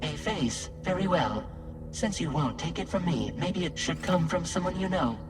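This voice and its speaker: A man voicing a mysterious, faceless mannequin patron in a game. The voice sits fairly high for a man and is polite, measured and persuasive, with music clearly underneath. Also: lively intonation, natural voice. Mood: obliging, cool. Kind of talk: video game cutscene dialogue.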